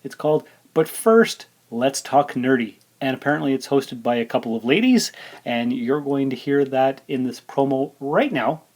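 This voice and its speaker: A man's voice: mid-range voice, expressive, normal speed, darkish tone. A man hosting his podcast, talking in a casual, rambling way with fillers. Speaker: podcast host. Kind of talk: casual solo podcast chat.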